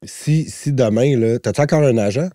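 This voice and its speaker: A man's voice: mid-range voice, moderate pace, dark tone, dry recording, clean studio sound. A Québécois man around forty, co-hosting a podcast in a loose, rambling, slangy way. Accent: Québécois French. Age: 40s. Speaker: podcast co-host. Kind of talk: casual podcast conversation.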